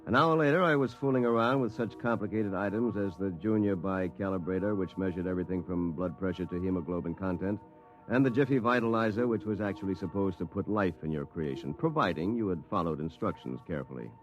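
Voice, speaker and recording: A man's voice: sits low, quick pace, dull audio, faint music behind, close to an everyday voice. An actor narrating as a weary, rueful lawyer telling his own story in a 1950s radio drama.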